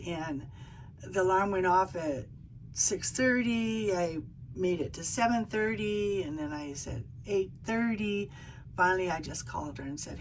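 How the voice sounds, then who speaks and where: A middle-aged woman vlogging about her cruise in a chatty way, full of ums and restarts, with a croaky, hoarse voice. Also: lowish voice, slight background noise, poor audio. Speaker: travel vlogger. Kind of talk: casual vlog chat.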